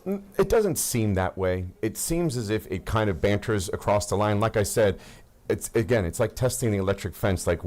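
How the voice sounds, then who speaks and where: Very deep-voiced man, halting speech. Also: darkish tone. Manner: halting, repeats phrases, many restarts. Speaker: legislator presenting a resolution. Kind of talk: committee hearing testimony. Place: legislative committee room.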